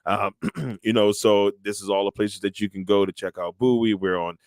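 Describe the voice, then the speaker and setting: A man in his thirties hosting a casual interview over a video call, easygoing and quick to laugh, often repeating himself. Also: lowish voice, fairly even intonation, a little mellow, no echo. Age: 30s. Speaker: interview show host.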